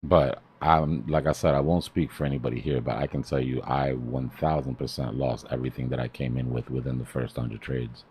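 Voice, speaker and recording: A man's voice: a bass voice, level tone, fairly quick, few pauses, a little mellow, slightly rough. A man hosting a live trading stream, talking casually like a mentor in a blunt, emphatic way and repeating himself for stress.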